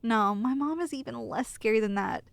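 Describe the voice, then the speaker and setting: A woman acts out a loving girlfriend in an intimate ASMR roleplay. Her voice sits a little high with a noticeable creak, recorded dry and close. She teases playfully and reassures her nervous partner tenderly. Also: moderate pace, dry recording. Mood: warmly amused.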